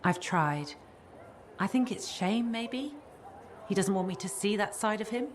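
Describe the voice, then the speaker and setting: An actress playing an earnest, polite and worried wife in a video game. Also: female voice, mid-range voice, lively intonation, unhurried, a little hiss or hum, natural voice. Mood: concerned. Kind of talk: video game cutscene dialogue.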